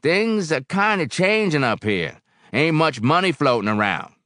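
Male reader as big burly bartender, folksy, unhurried, loyal, mournful yet genial, raised animated pitch, audiobook character. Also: no echo, clean studio sound, exaggerated voice. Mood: confiding.

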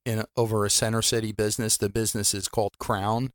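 A man's voice: lowish voice, level tone, fast, no echo, clean studio sound. A man co-hosting a podcast, chatting casually in a laid-back way, laughing often and swearing now and then. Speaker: podcast co-host. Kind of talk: casual chat.

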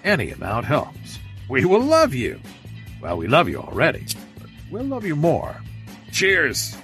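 A man reads a scripted promo in a fairly high, animated voice. Light music plays underneath his announcer delivery. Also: measured pace. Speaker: podcast network promo announcer.

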